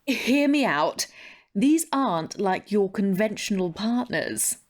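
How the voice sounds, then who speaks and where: A female spymaster with a medium-pitched voice and a formal, unflappable air. She gives orders briskly and with dry authority, as the head of an agency in a sci-fi radio drama. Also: animated. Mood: dryly stern. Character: brisk, unflappable female chief of a space spy bureau. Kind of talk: sci-fi audio drama dialogue.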